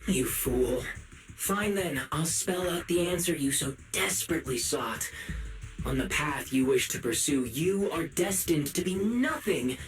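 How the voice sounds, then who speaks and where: Sly, high-pitched sinister male sprite. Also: expressive, unhurried, faint music behind, poor audio. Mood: scornful mocking. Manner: mocking, falsely sympathetic, theatrical. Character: sinister, taunting male sprite posing as a caring advisor. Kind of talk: dramatic video game voice acting.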